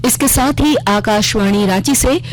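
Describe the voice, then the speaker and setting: A woman's voice: on the high side, measured pace, faint music behind. A woman reading a formal scripted radio news bulletin as the anchor. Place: radio studio. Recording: clearly noisy regional radio broadcast.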